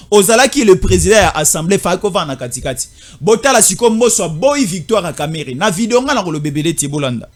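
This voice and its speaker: High-voiced Congolese man hosting political talk. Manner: repeats short phrases emphatically. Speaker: host. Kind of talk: political talk show commentary.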